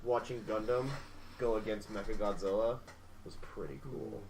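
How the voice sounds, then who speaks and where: A man on a group podcast, chatting casually in a halting way with many restarts. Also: on the low side, fairly even intonation, darkish tone, slight background noise, poor audio. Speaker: podcast co-host.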